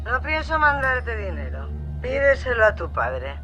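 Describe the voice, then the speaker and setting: A woman's voice: fairly high pitch, big pitch swings, a little slow, bright, forceful tone, full character voice. A woman playing a cold, dismissive middle-aged mother in a radio drama, with a curt, drawling delivery. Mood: cold, irritated.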